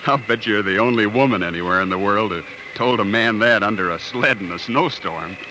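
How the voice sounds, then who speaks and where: An actor playing a protective, plain-spoken young pioneer husband in a 1940s radio drama, folksy and reassuring. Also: male voice, fairly low pitch, fairly quick, sharp tone. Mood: tender, reassuring. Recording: old noisy 1940s radio broadcast.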